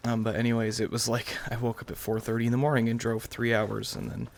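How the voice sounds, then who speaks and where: A man's voice: medium pitch, completely flat delivery, fast talker, a little hiss or hum. A tired young man giving casual, subdued, rambling let's-play commentary late at night. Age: young adult. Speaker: gaming YouTuber.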